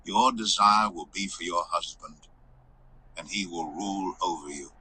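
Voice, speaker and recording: A man reading scripture aloud in a measured, formal delivery. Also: low voice, sharp tone, slight background noise, rough recording.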